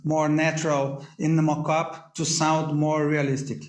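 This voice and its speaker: Slow talking guy, halting non-native english, highish voice, roomy echo on a video call. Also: level tone. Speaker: panelist, music arranger. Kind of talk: casual explanation on a video call.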